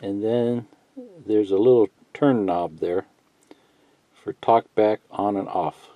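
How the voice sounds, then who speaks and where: A man giving a casual, unscripted how-to tutorial, thinking out loud in a halting, folksy way and reading bits of instructions aloud. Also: fairly low pitch. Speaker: hobbyist instructor. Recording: muffled lo-fi sound, roomy echo.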